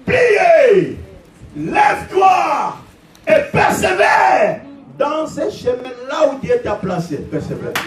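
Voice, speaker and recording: A man delivers an impassioned sermon, his voice sitting extremely high for a man. He shouts and hammers phrases over and over. The sound is echoey and distant, with noise underneath. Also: huge pitch swings, takes it slowly, over a noisy crowd. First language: Portuguese. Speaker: pastor. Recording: echoey room, distant mic, background noise.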